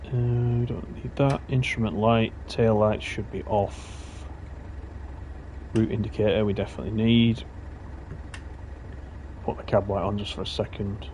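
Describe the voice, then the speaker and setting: A man's voice: on the low side, steady tone, measured pace, pauses often, darkish tone. Chatty Lancashire man over train noise. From Lancashire, England. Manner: chatty, rambling, self-correcting asides. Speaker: live-streaming gamer. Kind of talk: casual running commentary while playing. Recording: train engine noise behind voice. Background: simulated train engine and rail sounds.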